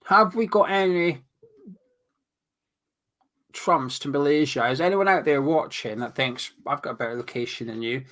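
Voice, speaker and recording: A man's voice: mid-range voice, moderate pace, long pauses, fairly bright tone. A man co-hosting a casual live football chat, reading out viewer comments and teasing his co-host. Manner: reads out comments, teasing banter.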